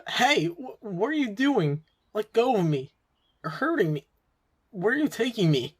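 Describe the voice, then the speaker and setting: A man's voice: fairly high pitch, fairly even intonation, normal speed, close to an everyday voice. A young male captive, played by a man, speaks defensively in a crime audio roleplay. He sounds earnest and protesting, with a streak of defiance toward those holding him. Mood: alarmed, protesting. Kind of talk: amateur audio drama roleplay.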